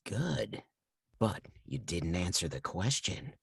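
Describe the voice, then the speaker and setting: A man playing a menacing, seductive phone stalker in a slasher film, with a slow, insinuating manner. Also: sits low, very animated, creaky, a bit bright, full character voice. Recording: echoey video call.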